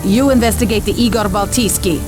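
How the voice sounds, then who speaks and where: A woman's voice: mid-range voice, natural voice. Actress as cool female spy, music behind. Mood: cool, urgent. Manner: formal, deliberate, uncontracted speech.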